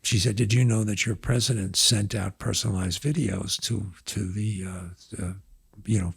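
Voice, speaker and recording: Hoarse, gravelly older man. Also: on the low side, steady tone, no room sound, clean studio sound. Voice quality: gravelly, husky. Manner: reflective storyteller, halting with fillers. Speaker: university president. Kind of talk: conversational podcast interview.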